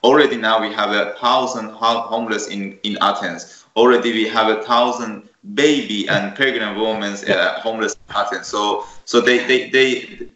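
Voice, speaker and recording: Iranian man in halting, echoey English. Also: on the low side, fairly even intonation, bright, forceful tone. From Iran. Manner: halting non-native English, searching for words. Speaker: refugee guest. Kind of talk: video call interview. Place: room with bookshelves. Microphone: headset. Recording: echoey room, dull lo-fi video call audio.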